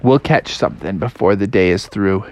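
A man voicing a fatherly frog dad who speaks in a lower, steady, reassuring way, in a bedtime story told aloud. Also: moderate pace, lightly acted. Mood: calm. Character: calm, fatherly frog dad, easily excited.

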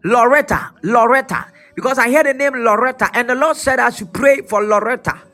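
A man preaching and prophesying, fervent and repetitive, his voice hoarse and partly lost. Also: extremely high pitch, brisk, soft background music. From Ghana. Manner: fervent, repetitive, shouted declarations. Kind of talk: prophetic sermon with prayer.

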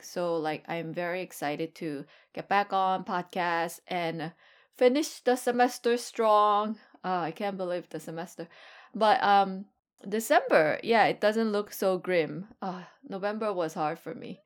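A woman's voice: medium pitch, measured pace. A woman, co-hosting a podcast in casual chat, filling her talk with "like" and "um". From Korea. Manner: chatty, lots of "like" and "um". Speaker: podcast co-host, ESL teacher.

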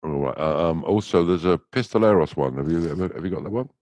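A man's voice: low-pitched, quick pace, darkish tone, no echo. An English man, a musician, chatting casually as an interview guest, rambling through anecdotes with lots of restarts. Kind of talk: casual chat.

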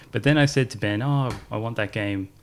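A man's voice: medium pitch, measured pace. A man co-hosting a podcast, rambling through casual chat about games and chuckling often. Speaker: podcast co-host.

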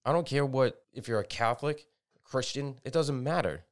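A man's voice: mid-range voice, moderate pace. A man co-hosting a podcast, agreeing often with short replies and swearing now and then in a casual opinion chat. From United States. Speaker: podcast co-host.

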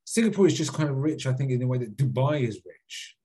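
A man's voice: medium pitch, lively intonation, quick pace, dark tone, husky. A man co-hosting a podcast, reading out a listener email and then rambling, hedging and swearing freely in casual chat. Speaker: podcast co-host.

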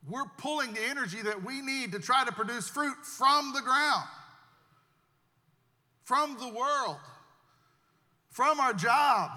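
High, bright-voiced pastor preaching in a hall. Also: male voice. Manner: earnest preaching with repeated words and restarts. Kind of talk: sermon.

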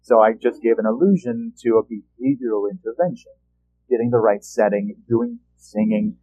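Guy therapist talking it through calmly, sounds like a phone call. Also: medium pitch, normal speed. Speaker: therapist and podcast host. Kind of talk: explanatory podcast talk. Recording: tinny phone-like line.

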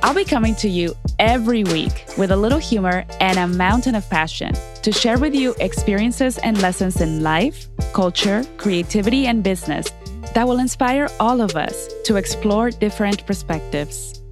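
A woman speaking as a podcast host, upbeat and expressive, addressing people directly in a conversational way. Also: mid-range voice, normal speed, over music. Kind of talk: podcast intro and interview chat.

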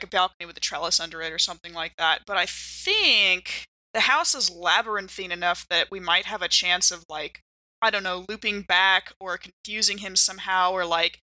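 A woman's voice: on the low side, bright, forceful tone, dry recording, poor audio. A woman playing in an improvised tabletop role-playing show, conversational and thinking aloud. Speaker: player in a role-playing game. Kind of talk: casual improvised tabletop actual play.

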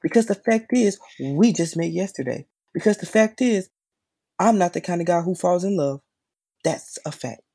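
A man performs a conflicted, lovestruck fact checker in a stage monologue. His voice is dark and raspy. He is intense and pleading, leaning hard on his words as he tries to stay rational. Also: high-pitched, full character voice. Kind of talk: dramatic monologue reading.

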